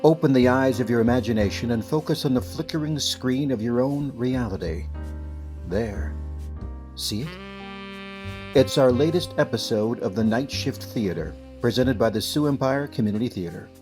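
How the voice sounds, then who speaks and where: An actor playing a mysterious, inviting announcer introducing a 1940s-style radio mystery show. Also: male voice, medium pitch, normal speed, a little mellow, faint music behind, natural voice.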